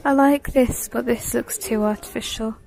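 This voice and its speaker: Mellow-voiced woman browsing a shop. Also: fairly high pitch, fairly even intonation, moderate pace, gravelly. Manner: chatty, reads out prices, sometimes drops to a whisper. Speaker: vlogger. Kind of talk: casual shop-with-me commentary. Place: inside a large home decor store. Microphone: handheld phone camera.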